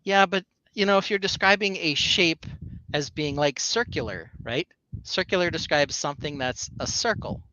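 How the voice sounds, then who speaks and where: Bright-voiced man, casual stream host. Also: fairly high pitch, lively intonation, moderate pace, no echo, lo-fi sound. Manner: rambling with many fillers and restarts. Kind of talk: casual live-stream chat.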